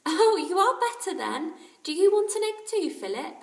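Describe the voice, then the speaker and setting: A woman's voice: very high voice, sing-song, normal speed, voice pushed up, heavily acted. A woman voicing a caring, cheerful mother who fusses kindly, in a storybook reading. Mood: solicitous. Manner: solicitous, bright exclamations. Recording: roomy, distant microphone.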